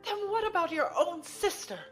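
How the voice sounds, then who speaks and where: A woman's voice: very high-pitched, expressive, normal speed, faint music behind. A woman playing a sentimental, demanding widowed mother of a grown son in a sci-fi audio drama, emotive and dramatic. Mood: indignant, pleading.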